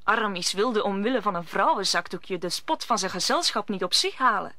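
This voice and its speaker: A woman's voice: medium pitch, expressive, brisk, sharp tone, no room sound. A woman narrating an audio drama in a scripted storytelling style.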